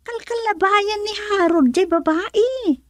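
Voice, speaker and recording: A woman playing a gossipy young woman in a radio drama, talking in an animated, conversational way. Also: very high voice, takes it slowly, no echo, heavily acted. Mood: gossiping. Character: gossipy young woman, a friend of the jilted girl.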